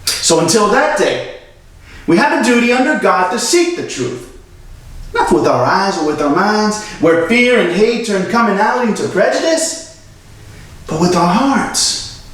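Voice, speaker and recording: A distant, heavily reverberant recording carries a man performing a young male defense lawyer in a dramatic courtroom monologue. His voice sits a little high. He addresses the jury with earnest, solemn oratory, deliberate and full of feeling. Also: sing-song, a bit bright, full character voice. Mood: earnest, impassioned. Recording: very echoey room, far from mic.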